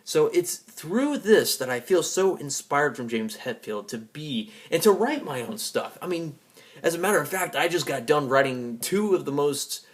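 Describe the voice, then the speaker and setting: A man's voice: fairly high pitch, animated, moderate pace. A man giving a rambling personal monologue to an audience in an emphatic, defiant, profanity-laced way. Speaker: vlogger and music fan.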